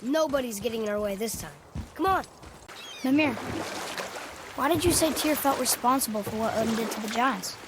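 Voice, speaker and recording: A man's voice: extremely high pitch, moderate pace, pauses often, background noise. A young male actor playing a headstrong, cocky boy demigod in a video game, blunt and insistent. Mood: eager, curious. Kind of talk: dramatic video game acting.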